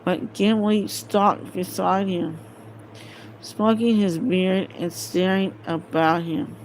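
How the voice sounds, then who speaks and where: Slow, halting woman reading aloud. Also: mid-range voice, fairly even intonation, a little mellow, poor audio. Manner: hesitant, stumbling over words and correcting herself. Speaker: amateur audiobook reader. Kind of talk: halting read-aloud of a fantasy novel. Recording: home recording with faint background noise.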